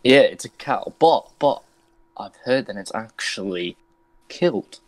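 A man's voice: on the low side, expressive, unhurried, dry recording, poor audio. Man playing a rambling, hesitant bounty hunter. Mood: earnest. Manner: hesitant, repeats himself, rambling. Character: casual, rambling male bounty hunter, awkwardly eager. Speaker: player in a Minecraft roleplay. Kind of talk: improvised roleplay dialogue.

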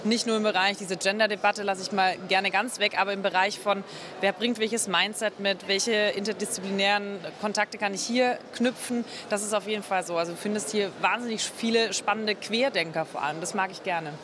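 Candid young woman in relaxed chat. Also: medium pitch, a little fast, noisy background. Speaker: journalist colleague as guest. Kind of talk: casual interview chat. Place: lounge area of a convention hall. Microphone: reporter's handheld microphone.

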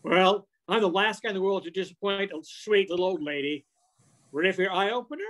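A man's voice: high voice, brisk, a bit bright. An older man playing a slangy, exclamatory wisecracking fellow in an amateur old-time radio play. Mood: wry. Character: exasperated wisecracking working man caught in hoax. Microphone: computer on video call.

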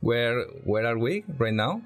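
A man with a Spanish accent hosting an informal interview, speaking English in halting, simple non-native phrasing. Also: mid-range voice, lively intonation. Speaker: interview host.

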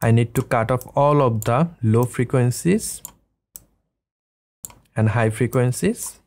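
A man in his thirties hosting a casual software tutorial, explaining things step by step. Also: mid-range voice, slow pace. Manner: step-by-step instructing. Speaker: tutorial host. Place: small indoor studio room.

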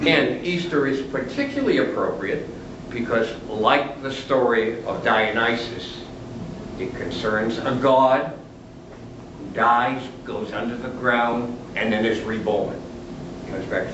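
Older man lecturing in a big roomy hall. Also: medium pitch. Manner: chatty and humorous, chuckling at own jokes. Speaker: retired literature professor lecturing. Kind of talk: lecture with asides. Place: large lecture room, standing at a lectern. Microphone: camera at the back of the room. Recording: roomy, distant, dull, noisy camera audio.

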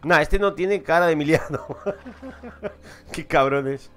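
A man's voice: fairly high pitch, takes it slowly, fairly bright tone. A man from Madrid with a Madrid Spanish accent, streaming a game and chatting casually, swearing and laughing at his own stories. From Madrid, Spain. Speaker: gaming streamer. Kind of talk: casual let's-play commentary. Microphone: headset.

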